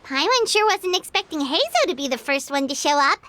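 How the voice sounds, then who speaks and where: A woman voicing a small, chatty, cheeky fairy companion in a game, squeaky and childlike, speaking of herself in the third person. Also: very animated, fairly quick, pushed and bright, heavily acted. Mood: chatty, curious. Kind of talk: animated game dialogue.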